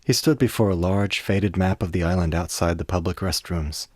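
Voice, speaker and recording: Deep-voiced male story narrator. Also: moderate pace, darkish tone, no echo, studio quality. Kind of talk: scripted dramatic story narration.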